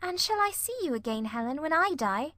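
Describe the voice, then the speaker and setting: Woman as an anxious, high-pitched little girl. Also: sing-song, brisk, no echo, exaggerated voice. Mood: earnest, questioning. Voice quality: soft, girlish. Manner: earnest, pleading, questioning. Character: anxious, devoted young girl at friend's bedside. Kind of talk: dramatic audiobook reading.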